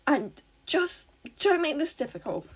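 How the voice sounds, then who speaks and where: A woman's voice: high-pitched, big pitch swings, unhurried, a bit bright, exaggerated voice. A woman voicing a wary, exasperated teenage girl hero in a story reading, firm and bossy. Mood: stern, wary. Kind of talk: fan fiction read aloud.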